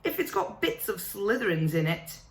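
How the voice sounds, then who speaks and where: A woman voicing a clever, earnest schoolgirl who talks quickly. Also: medium pitch, lively intonation, roomy sound, close to an everyday voice.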